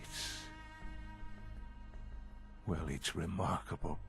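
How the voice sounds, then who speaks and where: A man playing an elderly scientist leader in a video game, paternal yet detached, speaking in measured, formal, halting phrases. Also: low-pitched, steady tone, creaky, a bit bright, heavily acted. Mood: formal approval. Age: older. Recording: music behind the voice.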